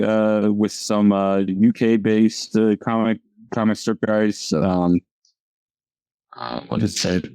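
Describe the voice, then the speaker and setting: A man, a comic artist, speaking casually in a halting way, stumbling and repeating words. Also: on the low side, mellow, no room sound. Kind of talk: casual chat over a video call.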